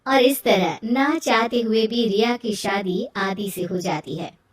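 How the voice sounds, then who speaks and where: A woman's voice: on the high side, a little slow, slight background noise. A woman reading scripted narration as the storyteller of a moral tale. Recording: roomy echo, distant from mic.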